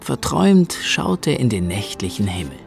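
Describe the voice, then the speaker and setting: Male narrator for a kids audio story, lively mid voice, music under. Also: fairly low pitch, moderate pace, gravelly. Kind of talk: scripted storybook narration for children's audio play.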